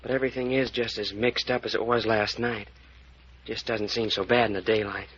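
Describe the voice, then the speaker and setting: Husky, forceful young leading man. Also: on the low side, little pitch movement, fairly quick. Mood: worried. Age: young adult. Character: earnest, worried young man, protective and irritable. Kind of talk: 1940s radio serial acting. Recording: muffled old-time radio recording.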